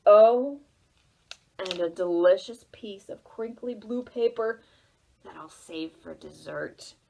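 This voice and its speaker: A woman's voice: medium pitch, slow pace, vocal fry, darkish tone, slight background noise, voice pushed down, low-quality recording. A woman voicing a hungry, delighted storybook goat who gushes and savours each word. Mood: greedy delight.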